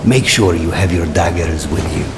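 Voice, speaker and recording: An actor playing a solemn, cryptic guide in a fantasy video game, speaking in a measured, portentous way. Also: male voice, sits low, background noise, close to an everyday voice. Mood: calm, cryptic. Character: solemn, cryptic male guide testing a hero's worth. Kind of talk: dramatic fantasy game acting.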